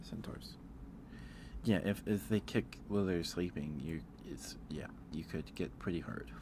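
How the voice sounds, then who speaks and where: Low-voiced man, soft ASMR stream ramble. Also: a little slow, background noise. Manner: soft-spoken, rambling, hesitant, thinking aloud. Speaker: livestream host. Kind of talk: casual ASMR livestream chat, ranking aloud.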